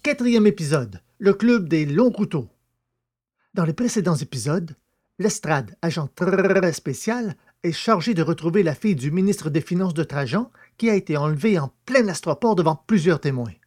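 A narrator with a medium-pitched voice reads a scripted recap. The delivery is that of an audio drama serial introducing its next episode. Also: expressive, moderate pace, a little mellow.

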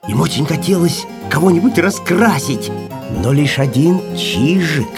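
A man narrates a children's story in an animated, scripted audiobook style. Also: fairly high pitch, a little slow, music in the background.

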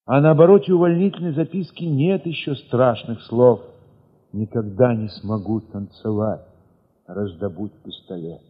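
Husky man narrating in a reverberant hall. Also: medium pitch. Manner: expressive, reflective storytelling with dramatic pauses. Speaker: narrator of an audio play, reading in the first person. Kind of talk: dramatic literary narration. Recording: muffled, long reverberant hall sound.

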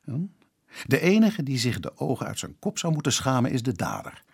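A man playing a probing but considerate police inspector in a radio drama, husky and careful with words. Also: medium pitch, huge pitch swings, brisk, exaggerated voice. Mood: gentle, reassuring.

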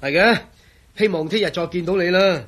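A man acting a proud, excitable engineer and grumbling companion in a radio drama, with a Hong Kong Cantonese accent. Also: high-pitched, a little fast. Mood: worried.

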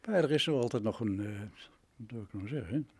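Man in his nineties, mid-pitched voice, interviewee, retired radio director, storytelling with vivid examples. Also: animated, fairly quick, slightly rough. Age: 90s. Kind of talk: reminiscing interview talk.

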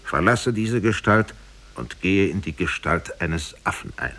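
An enraged demon of hideous menace, acted out by a male narrator in a radio tale. The voice is husky and swings widely in pitch as it accuses and commands. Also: lively intonation, a little slow, full character voice. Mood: commanding incantation. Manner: shouting, accusing.

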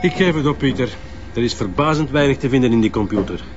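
A Flemish actor playing a dry, methodical police inspector in a crime drama, with a measured, questioning manner. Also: male voice, medium pitch, animated, lightly acted. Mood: resigned. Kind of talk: naturalistic crime drama acting. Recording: muffled sound with clear background noise.

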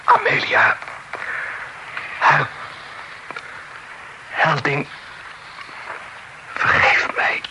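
Dying proud gentleman confessing, weak broken phrases, radio drama, bit of hiss behind. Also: fairly high pitch, expressive, exaggerated voice, low-quality recording. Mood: remorseful, pleading. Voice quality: breathy, halting, weakened. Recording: radio recording with faint noise.

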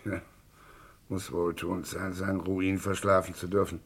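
An actor playing a cynical, gloating British financier in a vintage German crime radio drama, sardonic and theatrical. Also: male voice, deep voice, flat delivery, unhurried, breathy edge, heavily acted. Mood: wry musing. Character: cynical, gloating titled British financier scheming on the stock exchange.

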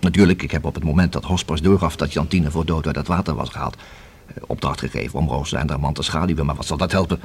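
An actor in a radio play as a methodical police inspector who reasons and questions in a formal, measured, deliberate way. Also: male voice, very deep voice, gravelly, husky, exaggerated voice. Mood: pensive, speculating. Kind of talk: radio drama acting. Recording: hissy old radio play recording.

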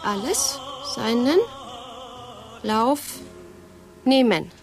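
A woman's voice: fairly high pitch, expressive, drawn-out words, over music, exaggerated voice. An actress playing a curious woman in a radio play who keeps echoing and probing what she hears. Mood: inquisitive. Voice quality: echoing, inquisitive.

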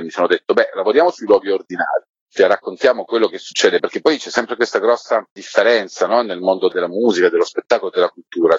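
A man's voice: low voice, slightly rough. A man giving discursive, explanatory answers in a conversational interview. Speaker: podcast curator being interviewed. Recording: roomy, dull lo-fi line.

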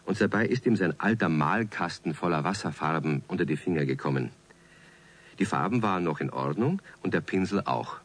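A man narrating a children's radio play as an unhurried storyteller with a husky voice. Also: sits low, animated. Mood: gentle storytelling. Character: calm male storyteller of a children's tale.

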